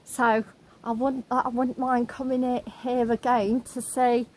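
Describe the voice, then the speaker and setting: A woman vlogger talking through a museum and garden on a walking tour, halting with many fillers. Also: a little high, little pitch movement, darkish tone, echoey room, slight background noise. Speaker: travel vlogger. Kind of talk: casual walking tour commentary. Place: indoor museum and outdoor garden.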